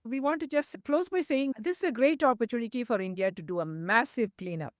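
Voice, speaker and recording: A woman co-hosting a discussion, speaking in an indignant, forceful way. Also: on the high side, a little fast, no echo, studio quality. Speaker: co-host.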